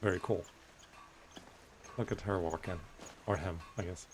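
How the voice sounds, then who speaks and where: A man's voice: deep voice, fairly even intonation, a little slow, a little hiss or hum. A man giving casual, understated let's-play commentary over faint game sounds. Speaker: gaming video host.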